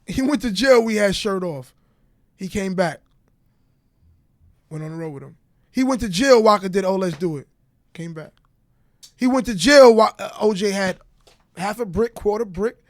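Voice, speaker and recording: A man's voice: high-pitched, animated, normal speed, long pauses, no echo, clean studio sound. A man with a stutter talking candidly as a podcast guest, repeating his points in short bursts, with a Southern US accent. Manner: stutters, repeats phrases like "bro" and "real shit". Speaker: guest rapper. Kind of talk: candid interview talk.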